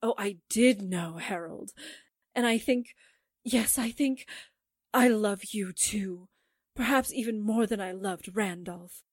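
A woman playing a scheming former film star widow in a 1940s-style radio drama, theatrical and halting in delivery. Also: mid-range voice, dry recording, studio quality. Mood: anguished, pleading.

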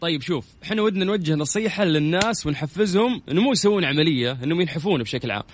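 A man's voice: a little high, expressive, rapid-fire, slight background noise. A man with a Saudi accent hosting a radio show in a casual, chatty style, talking straight to listeners and dropping in English words. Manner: informal, addresses listeners directly, drops in English words.

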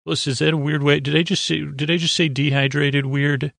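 A man hosting a bedtime podcast in a rambling monologue, stammering and derailing himself, with a gravelly, creaky voice. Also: on the high side, level tone, brisk, a bit bright, dry recording. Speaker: podcast host.

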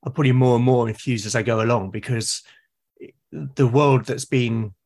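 A man's voice: mid-range voice, steady tone, moderate pace, darkish tone. A man from Britain chatting as a podcast guest, thoughtful and tentative, repeating words as he thinks. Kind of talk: casual reflective conversation.

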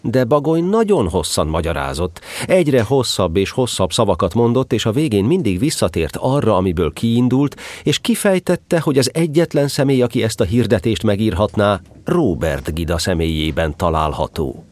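A man's voice: lowish voice, lively intonation, darkish tone, no echo. A man narrating a scripted children's story as the storyteller of an audio drama.

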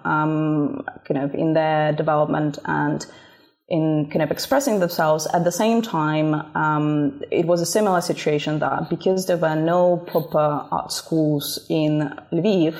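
Woman, from Ukraine, native Russian speaker, deep voice, measured, hesitant. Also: darkish tone. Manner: measured, with frequent hesitations and fillers. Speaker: guest, art expert. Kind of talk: thoughtful interview answers.

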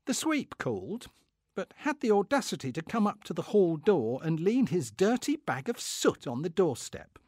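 A pompous middle-aged clerk of the Victorian era, acted out by a man, reading his diary aloud with fussy indignation. His voice swoops expressively and sits a little high for a man, in a very dry, close recording. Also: big pitch swings, moderate pace, a little mellow, dry recording. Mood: fussy, put out. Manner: pompous, indignant, self-satisfied. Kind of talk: dramatic comic reading of a diary.

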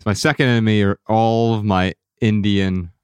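Measured American man hosting, dry close sound. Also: on the low side, fairly even intonation, a little mellow, smooth, no room sound. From Dayton, Ohio, United States. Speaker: podcast host. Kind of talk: conversational podcast discussion. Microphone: close studio podcast mic.

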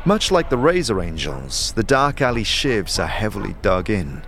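Animated man narrating dark fantasy drama. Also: lowish voice, moderate pace, a little hiss or hum. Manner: intense, theatrical. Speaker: narrator and performer. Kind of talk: dramatic scripted second-person fantasy narration. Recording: clear music bed behind voice.

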